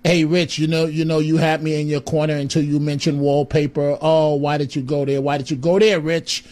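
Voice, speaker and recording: A man's voice: a little high, flat delivery, a little fast, darkish tone. A man hosting a call-in radio show, friendly and exclamatory, with rambling asides. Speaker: radio talk show host.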